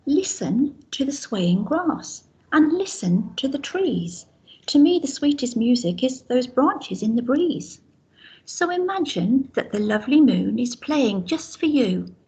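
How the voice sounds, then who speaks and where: A woman voicing a small, kindly male cricket in a picture book, speaking in a hushed, soothing way. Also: sits high, lively intonation, a little slow, slightly rough, exaggerated voice, low-quality recording.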